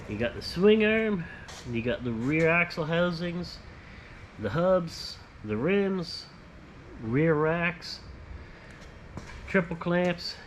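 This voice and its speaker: Casual male tradesman presenter, slightly high-pitched, plain step-by-step delivery, background workshop noise. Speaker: host, powder coating tradesman.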